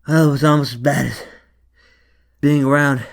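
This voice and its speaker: A man playing a wisecracking, easygoing vagrant storyteller in a comic dub, with a creaky, gravelly voice. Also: mid-range voice, fairly even intonation, moderate pace, natural voice. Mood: rattled, joking.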